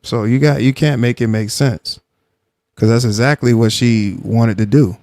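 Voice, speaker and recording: Brief: male live-stream host, mid-pitched, blunt and insistent, dry close sound. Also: steady tone, normal speed, darkish tone, no echo. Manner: blunt, insistent, repeats himself. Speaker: livestream host. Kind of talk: live stream commentary and argument.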